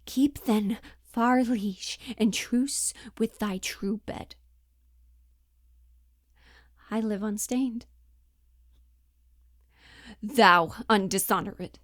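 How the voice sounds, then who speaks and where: A woman's voice: mid-range voice, extremely slow, no echo, studio quality. Woman acting a scorned, passionate Shakespearean wife, declamatory. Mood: bitter. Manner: declamatory, dramatic, archaic verse delivery. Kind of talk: theatrical classical stage monologue.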